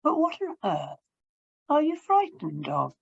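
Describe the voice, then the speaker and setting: A woman's voice: high-pitched, huge pitch swings, measured pace, creaky, dry recording, highs cut off, pitched above the performer's own voice, full character voice. A woman voicing joyful little children in a read-aloud storybook, exclaiming in a raised, gleeful voice. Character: excited flying young children, joyful.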